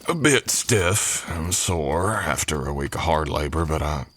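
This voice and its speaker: Man playing a very deep-voiced, folksy cowboy. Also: animated, a bit bright, husky, heavily acted. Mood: modest, good-natured. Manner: folksy cowboy drawl, unhurried, modest and courteous. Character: polite drifter cowboy hired as ranch hand, Old West. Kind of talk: ASMR roleplay acting, addressing the listener.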